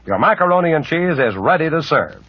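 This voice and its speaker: Lively swooping American radio announcer, male. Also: fairly high pitch, a little fast, slightly rough, dry recording. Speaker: commercial announcer. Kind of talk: 1940s radio ad read. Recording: muffled 1940s radio recording.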